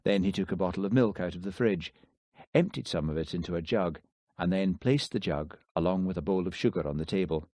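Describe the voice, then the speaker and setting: A man's voice: low voice, a little fast, darkish tone, dry recording. A man narrating a novel as a scripted audiobook reader.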